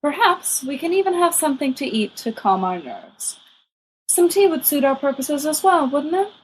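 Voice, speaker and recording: A woman voicing a serene, courteous old male wizard headmaster in an audiobook, measured, formal and gently firm. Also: high-pitched, expressive, a little hiss or hum, voice pushed up, low-quality recording. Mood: calm, benevolent. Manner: measured, formal, politely wordy. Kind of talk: audiobook character reading.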